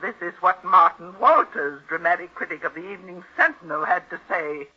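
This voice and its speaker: Husky, imperious old aunt. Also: female voice, fairly low pitch, animated, brisk, soft background music. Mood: offended, haughty. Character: ailing, imperious older aunt, secretly a scheming impostor. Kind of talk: 1940s radio acting. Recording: muffled old radio recording.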